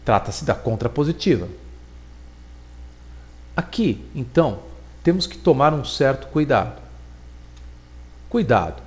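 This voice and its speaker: A man giving a recorded logic lesson, explaining deliberately and repeating points for emphasis. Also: medium pitch, big pitch swings. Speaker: teacher.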